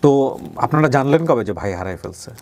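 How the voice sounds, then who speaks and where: A man's voice: mid-range voice, animated, fast talker, a little mellow, a little hiss or hum. A man with a Bangladeshi accent hosting a conversational interview show. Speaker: show host.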